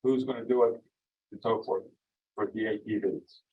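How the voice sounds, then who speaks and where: A man's voice: on the low side, steady tone, moderate pace. A man at a town meeting asking pointed questions, with a dry bit of humor. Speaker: resident speaking at public comment. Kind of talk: public comment at a town meeting. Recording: distant, dull meeting audio.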